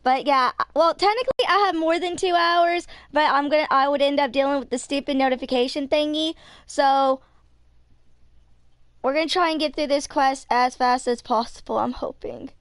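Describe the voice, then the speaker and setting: A woman's voice: high-pitched, moderate pace, no room sound. A woman in her twenties chatting casually on a livestream while she plays a game. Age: 20s. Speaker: game streamer.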